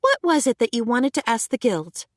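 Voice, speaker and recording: Stern elf guild master, read by a woman; high, animated and unhurried, dry recording. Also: no room sound. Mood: commanding. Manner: commanding, clipped.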